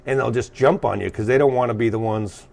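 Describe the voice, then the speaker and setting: A man with an American accent chatting casually as a guest, sweary and halting as he corrects himself. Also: medium pitch, vocal fry, a little mellow, a little hiss or hum. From California, US. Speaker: guest, punk drummer. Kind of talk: casual chat, telling own story.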